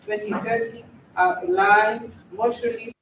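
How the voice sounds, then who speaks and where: A woman reading a formal prepared speech as an agency representative, slow and careful. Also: on the high side, very animated. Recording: echoey hall from far off with noise.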